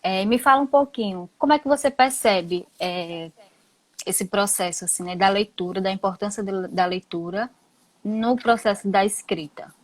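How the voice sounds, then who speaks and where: A woman with a Brazilian Portuguese accent interviewing casually, phrasing her questions informally and haltingly. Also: mid-range voice, no echo. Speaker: interviewer from a book club. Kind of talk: casual live-stream interviewing.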